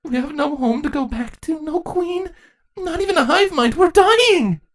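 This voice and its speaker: A woman's voice: high-pitched, lively intonation, unhurried, voice pushed up. A woman voicing a frightened, crying teenage female changeling in a storybook reading. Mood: pleading. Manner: tearful and desperate.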